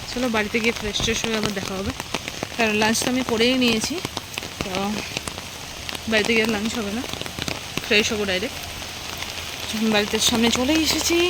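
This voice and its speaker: A young woman with a West Bengali accent, chatting casually to viewers as she narrates her daily vlog. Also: medium pitch. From West Bengal, India. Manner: chatty, addresses viewers as friends. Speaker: vlogger. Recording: noisy outdoor traffic and rain ambience.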